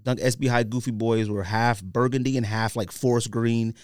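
A man's voice: medium pitch, steady tone, normal speed, darkish tone, clear, resonant tone, no room sound, studio quality. A man hosting a casual podcast, talking in an informal, slangy, opinionated way. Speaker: podcast host. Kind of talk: casual sneaker podcast chat.

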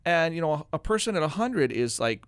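A man's voice: on the high side, big pitch swings, normal speed, smooth, no echo. A man hosting a talk radio show as a financial adviser, explaining things in an informal, folksy, conversational way. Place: radio studio.

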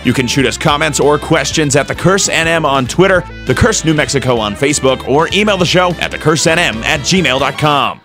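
A man announcing a podcast intro in a smooth, even, scripted read. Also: fairly high pitch, fairly quick, few pauses. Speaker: show announcer. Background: music bed.

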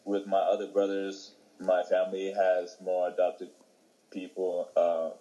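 Low, flat-voiced young man. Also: muffled recording. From Ethiopia. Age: young adult. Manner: halting, many fillers and restarts. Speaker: interviewee, young soccer player. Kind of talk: casual interview answers.

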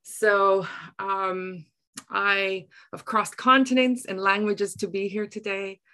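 A middle-aged woman from South Africa with an Afrikaans accent, telling personal stories reflectively in an earnest way, often halting to search for words. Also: mid-range voice, fairly even intonation, sharp tone, smooth. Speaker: interview guest, writer. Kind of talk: reflective personal storytelling in conversation.

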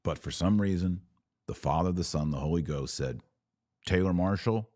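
A man's voice: low-pitched, moderate pace, a little mellow, no echo, studio quality. A middle-aged American man hosting a religious podcast, speaking conversationally in an emphatic, folksy, preachy way. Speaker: podcast host. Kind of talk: conversational religious commentary with exhortation.

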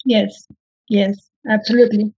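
A woman, a writing coach giving measured, instructive advice in an interview. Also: medium pitch, little pitch movement, slightly rough, no echo, lo-fi sound. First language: French. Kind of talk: interview answers, advising.

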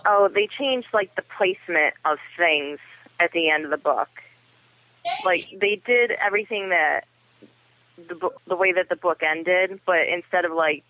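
Male guest, high voice, informal conversation over a narrow telephone line. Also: moderate pace, dry recording. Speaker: podcast guest. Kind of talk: casual chat, film review. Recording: dry, tinny phone line.

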